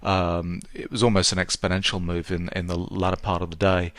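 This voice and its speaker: Hoarse man, low flat voice. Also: no echo. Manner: halting, many fillers and hedges. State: hoarse, sore throat. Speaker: market analyst. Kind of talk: chart analysis lesson.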